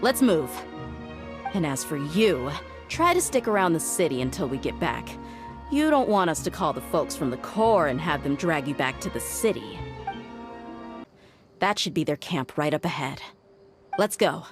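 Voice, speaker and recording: Tough female mercenary, lowish voice, assertive, sardonic, intimidating, video game, faint music underneath. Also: sing-song, normal speed, long pauses. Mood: satisfied, commanding. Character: tough, blunt, confident young mercenary woman, intimidating.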